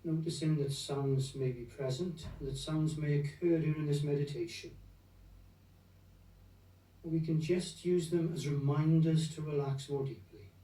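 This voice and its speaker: A man leading a guided meditation in a gentle, unhurried, soothing manner, leaving long pauses between phrases. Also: a little high. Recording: roomy echo, distant from the mic.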